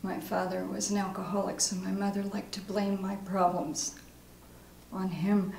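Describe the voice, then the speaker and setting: In a roomy, echoing space, an actress plays a recovering alcoholic mother making her confession. She is halting, sombre and weighed down by guilt, in a dramatic stage monologue with faint noise behind it. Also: mid-range voice, flat delivery, gravelly, a little mellow, lightly acted. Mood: subdued, ashamed.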